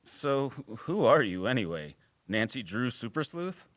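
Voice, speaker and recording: An actor playing a wry, guarded wildlife biologist in an audio drama, with teasing, dry sarcasm and an earnest streak. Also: male voice, medium pitch, sing-song, a little slow, fairly bright tone, dry recording. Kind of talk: naturalistic audio drama acting.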